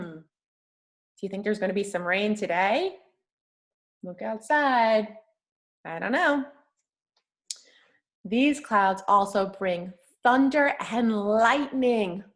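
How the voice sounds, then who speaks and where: A woman's voice: fairly high pitch, lively intonation, extremely slow, pauses often. A woman reading a picture book aloud to young children, talking simply and encouragingly and often putting questions to them.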